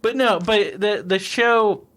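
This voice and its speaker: Male podcast co-host, higher-pitched, rambling casual talker who restarts his sentences. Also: takes it slowly, fairly bright tone. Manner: rambling, restarts a lot and laughs often. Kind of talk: casual chat over beers.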